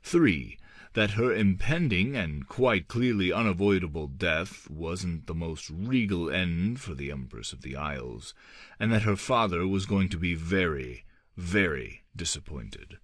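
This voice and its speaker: A man reading an audiobook as dramatic scripted narration, in a measured, theatrical manner. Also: deep voice, expressive, fairly bright tone, no echo, clean studio sound. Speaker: audiobook reader.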